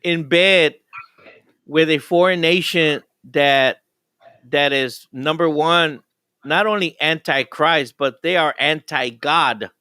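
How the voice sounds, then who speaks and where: A male radio host who talks haltingly, stumbling and restarting his sentences. His voice is bright and clean, and the recording is dry and close. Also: a little high, clean tone, dry recording. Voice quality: halting, many restarts and repeated words. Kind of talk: casual interview.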